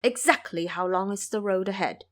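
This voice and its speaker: A woman's voice: mid-range voice, moderate pace, close to an everyday voice. A woman voicing an earnest, courteous male pilgrim monk who asks questions in an audio drama.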